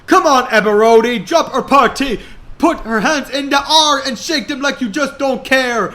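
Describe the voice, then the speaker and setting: Man doing a high shouting hype-man panda. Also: moderate pace. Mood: hyped, exuberant. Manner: hype-man chanting, exuberant. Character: hyped-up singing panda hero working a crowd.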